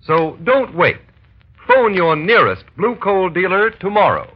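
A man's voice: sits high, sing-song, measured pace. A male announcer reading a script in emphatic, formal 1930s radio style. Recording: muffled old radio broadcast.

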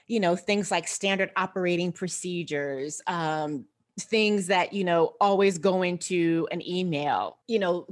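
Animated American woman in a casual interview. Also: fairly low pitch. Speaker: interview guest, personal finance expert.

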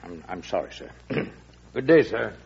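An actor playing an evasive, uneasy American army general in a classic radio drama, hesitant and deferential, with an American accent. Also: male voice, low voice, big pitch swings, unhurried, darkish tone, a little hiss or hum, full character voice. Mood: uneasy, defensive. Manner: hesitant, throat-clearing, deferential. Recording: muffled old radio recording.

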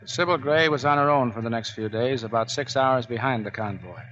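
A man acting in a 1940s radio drama as a tough, sardonic Navy chief, with a gruff way of talking. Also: medium pitch, fairly quick, fairly bright tone, lightly acted. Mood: tense narrating. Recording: muffled 1940s radio recording.

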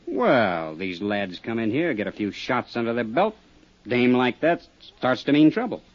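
Husky, wisecracking roadhouse hustler, American. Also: male voice, medium pitch, big pitch swings, normal speed. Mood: exasperated. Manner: slangy, streetwise, defensive. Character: tough, cagey small-town roadhouse owner, wisecracking hustler. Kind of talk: 1950s radio drama acting. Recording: dull 1950s radio recording.

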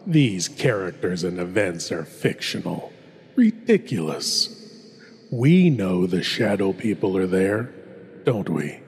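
A male horror host with a husky voice and a very unhurried pace. He is theatrical and teasingly sinister, a lord of shadows. Long reverb trails his words over faint music. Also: low-pitched, big pitch swings. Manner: slow, ominous, mock-sinister.

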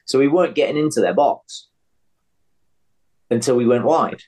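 A man's voice: mid-range voice, animated, normal speed, warm tone, husky. A man casually analysing football tactics and statistics as a podcast pundit.

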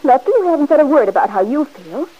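A woman's voice: sits high, big pitch swings, fast. An actress playing a headstrong young woman about to marry, insistent and defensive, in a 1940s radio drama. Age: young adult. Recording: dull old radio recording.

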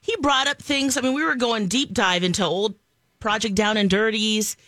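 A woman in her thirties co-hosting a casual chat, talking in a relaxed way with fillers. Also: fairly high pitch, normal speed, no echo, studio quality. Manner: relaxed, many fillers and laughs. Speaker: co-host.

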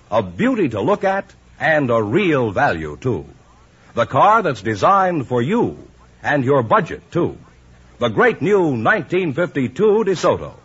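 A man's voice: medium pitch, fairly bright tone. An American man reading a 1950s radio advertisement as an emphatic commercial announcer. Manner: emphatic salesmanship. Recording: muffled, husky-sounding 1950s radio recording.

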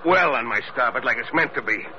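An actor playing an old, stubborn, drunken sea captain, rambling and halting in salty seafaring talk, in 1940s radio drama style. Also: male voice, medium pitch, lively intonation, natural voice. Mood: earnest pleading. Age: older. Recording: noisy, muffled old radio drama recording.

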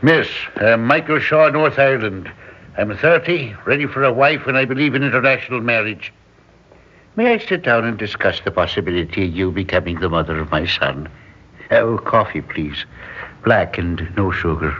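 An actor playing a bold, smooth-talking suitor of thirty from Northern Ireland in an old radio drama. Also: male voice, fairly low pitch, animated, normal speed, close to an everyday voice. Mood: bold, courting. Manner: bold, courting. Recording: dull vintage radio recording, light noise.

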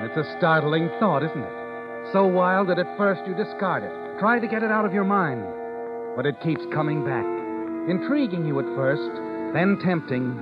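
An actor playing a knowing, taunting narrator in an old radio mystery, speaking dramatically to the schemer. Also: male voice, fairly high pitch, lightly acted. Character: insinuating, omniscient male narrator addressing the schemer. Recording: muffled old radio recording. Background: dramatic music.

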